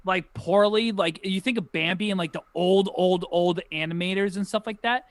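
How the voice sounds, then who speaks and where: A man's voice: high-pitched, normal speed, no echo. A man in his thirties co-hosting a podcast, chatting casually with slang and joking asides. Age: 30s. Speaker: podcast co-host. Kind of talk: casual chat.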